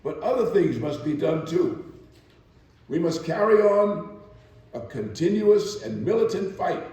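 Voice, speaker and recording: A man's voice: on the high side, animated, measured pace, warm tone, voice pushed up. Guy doing firm lady union boss giving a speech, emphatic, distant mic, tons of echo. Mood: resolute. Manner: emphatic, oratorical. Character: militant, resolute female union president. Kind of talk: quoted speech in a dramatic reading. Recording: echoey hall, distant microphone.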